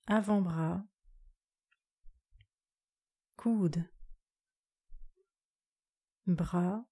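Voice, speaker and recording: A woman yoga nidra instructor leading a guided relaxation meditation in a calm, soothing, measured way. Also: fairly low pitch, slightly rough.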